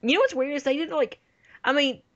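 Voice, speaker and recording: A man's voice: very high voice, brisk, fairly bright tone. A young man hosting a casual fan movie commentary, rambling and giving his opinions in an offhand chat. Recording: slightly dull lo-fi home recording.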